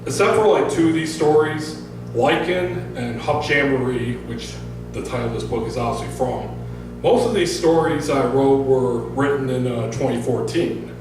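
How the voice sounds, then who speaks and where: An American man speaking as an author at a public reading, casual and halting, with a self-deprecating, informal way of talking. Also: mid-range voice. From northern Michigan, United States. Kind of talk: casual spoken introduction and story reading to a small audience. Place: bar-like event venue, recorded from across the room. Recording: echoey venue, distant mic, music behind.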